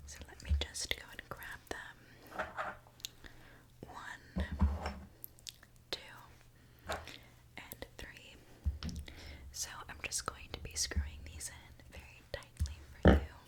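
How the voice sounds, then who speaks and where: Slow whispering woman, ASMR roleplay. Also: fairly low pitch, sing-song, gravelly. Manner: soft, gentle whispering, unhurried step-by-step narration. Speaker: ASMR performer playing a mechanic in a roleplay.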